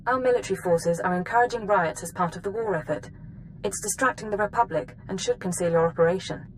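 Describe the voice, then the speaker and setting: An actress playing a stern, formal intelligence handler issuing mission orders in a video game. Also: female voice, fairly low pitch, quick pace, slight background noise, lightly acted, rough recording. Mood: stern, serious. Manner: formal, authoritative.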